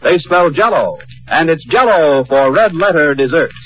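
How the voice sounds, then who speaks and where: Male American radio announcer, vintage commercial read: upbeat, polished, lively intonation, lo-fi sound. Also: a little high, moderate pace. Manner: polished, upbeat sales delivery. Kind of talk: 1940s-50s scripted radio commercial. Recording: dull lo-fi old radio recording.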